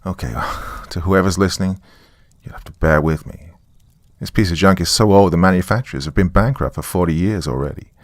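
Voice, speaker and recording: A man's voice: deep voice, a little fast, no echo, studio quality, natural voice. A man voicing a weary, sardonic small-town man, rambling wryly through a taped confession in a horror story. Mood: wry, flustered. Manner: rambling, wry, colloquial. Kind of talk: dramatic monologue as a taped confession.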